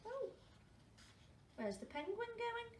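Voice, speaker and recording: High, lilting, unhurried woman storyteller. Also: sing-song. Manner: questioning asides to young listeners. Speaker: primary school storyteller. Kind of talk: read-aloud storytelling for young children. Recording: roomy, distant mic, faint noise.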